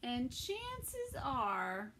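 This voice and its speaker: A middle-aged woman reads a picture book to toddlers in a slightly high voice. She takes it very slowly and expressively, leaving pauses between phrases. It is recorded in a room at home with faint noise behind her. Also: huge pitch swings, fairly bright tone. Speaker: children's story time reader. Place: small indoor room with bookshelves.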